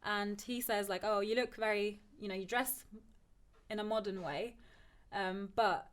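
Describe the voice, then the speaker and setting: A woman's voice: mid-range voice, steady tone, unhurried. Reflective young woman from Turkey. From Turkey, moved to England at ten. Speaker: podcast guest, PhD student. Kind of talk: conversational personal discussion.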